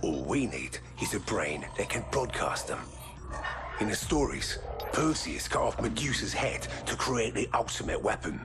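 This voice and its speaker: An actor playing a grim, zealous ex-military commander in a video game cutscene, telling his story in a measured, deliberate way. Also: fairly low pitch, very animated, over music. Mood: calculating.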